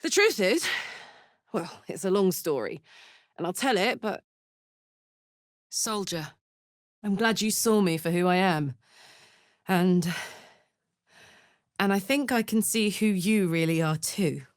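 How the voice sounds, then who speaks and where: A woman voicing a brash, warm-hearted, battle-hardened fantasy warrior in a video game, with a blunt, earthy, emotive delivery. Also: medium pitch, animated, takes it slowly, long pauses, no echo, studio quality. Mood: earnest, tender. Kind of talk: video game character voice acting.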